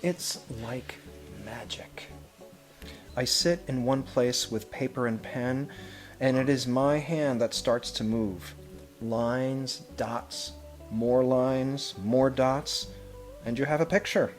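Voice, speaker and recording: A man reads a picture book aloud as a school head would to children, with measured, expressive phrasing and pauses. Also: mid-range voice, faint music behind. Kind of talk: storybook read-aloud with brief asides.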